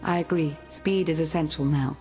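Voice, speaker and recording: Composed female intelligence operative, deep and creaky, formal and businesslike, for a video game. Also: fairly even intonation, moderate pace, dark tone, soft background music.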